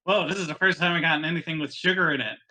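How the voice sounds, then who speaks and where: A man's voice: a little high, very fast, bright, forceful tone, poor audio. A man playing a polite, naive young boy in a tabletop game. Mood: innocent.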